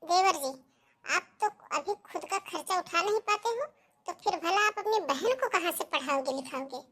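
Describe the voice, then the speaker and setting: A woman's voice: very high-pitched, lively intonation, normal speed, a bit bright, full character voice. A woman voicing a domineering, scornful sister-in-law, haughty and confrontational, in a cartoon melodrama. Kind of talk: melodramatic Hindi cartoon story dialogue.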